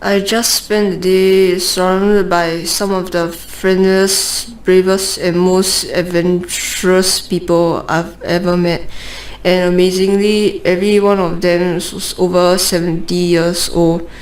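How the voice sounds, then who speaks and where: A woman reading a passage aloud as an exam candidate, monotonous and hesitant, with slurred words and stumbles. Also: on the low side, slow pace, few pauses, low-quality recording.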